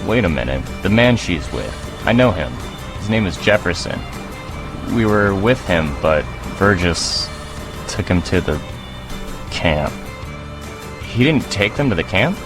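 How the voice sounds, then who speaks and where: An actor as a bitter male resistance fighter in an audio drama, with a very low voice. He is ornery and wary. Loud music runs under his words. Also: sing-song, measured pace, pauses often. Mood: wary, surprised.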